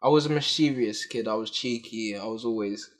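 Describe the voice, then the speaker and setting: A man in his twenties from North London talking candidly and deliberately about his childhood in an interview. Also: lowish voice. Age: 20s. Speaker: hostel resident.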